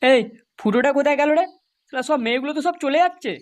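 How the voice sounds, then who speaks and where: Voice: sits high, racing, close to an everyday voice. A performer voicing a crude, mocking young village man in a comedy cartoon, scolding and taunting as he talks. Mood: irritated.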